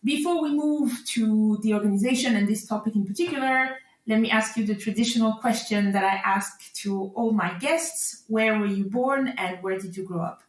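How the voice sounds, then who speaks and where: A woman hosting a podcast, giving a polished read-out introduction and then asking her guest questions in a clear, articulate way. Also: on the high side, normal speed, few pauses, roomy sound, low-quality recording. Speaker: podcast host. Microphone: remote recording.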